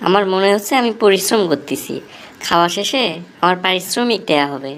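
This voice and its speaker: A woman's voice: mid-range voice, lively intonation, a little fast, background noise, lightly acted. A woman voicing a grumbling, sarcastic young son-in-law in a comic cartoon, with a rural Bangladeshi accent. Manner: sarcastic, complaining.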